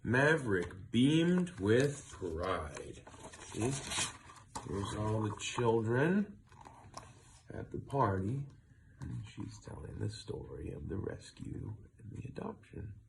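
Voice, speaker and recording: Male storybook reader: lowish, very expressive voice, faint noise behind. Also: takes it slowly, creaky. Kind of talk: animated children's read-aloud.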